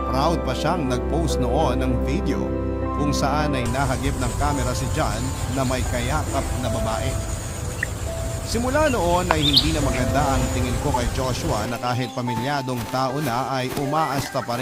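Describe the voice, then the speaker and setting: Male Filipino radio storyteller, mid-range voice, dramatic scripted letter reading with a heavy music bed. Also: medium pitch. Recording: loud music bed under the voice.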